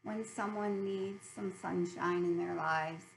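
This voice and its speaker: A woman's voice: on the low side, steady tone, slow, vocal fry, low-quality recording. Chatty American woman, faint background hum. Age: middle-aged. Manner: chatty, cheerful. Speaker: host of a needlework video channel. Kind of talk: casual chat to viewers.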